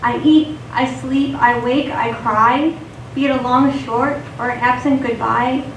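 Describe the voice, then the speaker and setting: A woman's voice: high-pitched, fairly even intonation, measured pace. A woman playing a wistful, poetic young female figure reciting rhyming verse in stage radio theatre. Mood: wistful, questioning. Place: theater stage, recorded from a distance. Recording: echoey theater, distant mic, faint noise.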